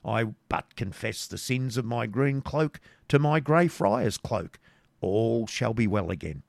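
Guy doing a boastful boozy old-time friar from a storybook, blustery, gravelly. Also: medium pitch, animated, a little slow, dry recording. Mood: jovial. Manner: blustering, archaic English. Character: boisterous, boastful, hard-drinking hermit friar.

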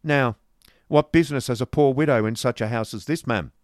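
A man doing a blunt, forceful stern gentleman. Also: medium pitch, brisk, no room sound, clean studio sound, pitched above the performer's own voice, lightly acted. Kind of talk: audiobook character voice.

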